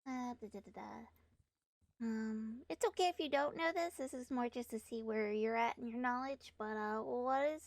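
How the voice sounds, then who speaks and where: A woman losing her voice, asking interview questions in a roleplay in a relaxed, encouraging way. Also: on the high side, moderate pace, no room sound.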